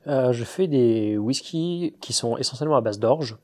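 A man's voice: mid-range voice, lively intonation, moderate pace, a little mellow. Hesitant, enthusiastic man explaining his craft. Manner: hesitant, many fillers and restarts. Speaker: distiller, guest. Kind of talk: casual interview talk.

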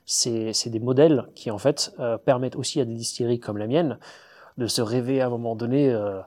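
A man's voice: mid-range voice, fairly even intonation, brisk, a little mellow. A man, a distiller, talking in a casual interview, explaining with enthusiasm but with many fillers and restarts. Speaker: distiller, guest.

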